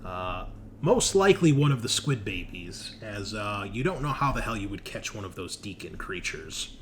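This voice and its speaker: A man narrating a recap as game master of a tabletop horror game, unscripted and halting, speaking directly to the players. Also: fairly low pitch, big pitch swings, fairly bright tone. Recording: clear background noise.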